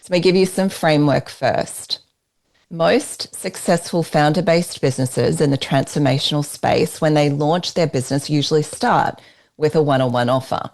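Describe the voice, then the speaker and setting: Low, gravelly Australian woman presenter. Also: moderate pace, a little mellow. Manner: emphatic, persuasive sales-pitch delivery. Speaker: business strategist leading a workshop. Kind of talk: live workshop presentation with slides.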